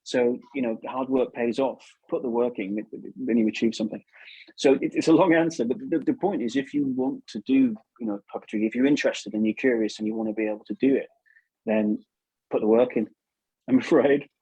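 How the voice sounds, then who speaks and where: A man's voice: mid-range voice, brisk, dark tone, husky, no echo, muffled recording. A man giving casual advice as an interview guest, chatty and repeating himself for emphasis. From England.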